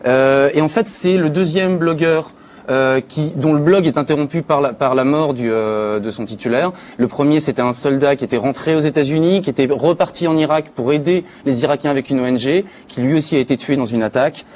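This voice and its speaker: A man's voice: a little high, brisk, a little mellow. A man giving an informal spoken chronicle on a live talk show, as a news contributor. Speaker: contributor presenting internet news.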